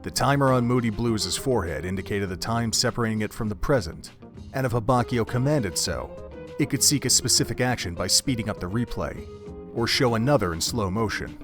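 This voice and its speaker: Male story narrator, fairly low voice, measured and literary, music bed. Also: animated. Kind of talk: scripted story narration for an audio drama. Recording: background music under the voice.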